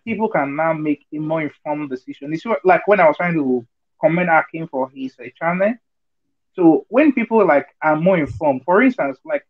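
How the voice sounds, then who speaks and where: A man's voice: fairly high pitch, narrow, tinny audio. A man arguing earnestly in an online group discussion, with many fillers and checks like "you understand?". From Nigeria. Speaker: discussion participant. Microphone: video call.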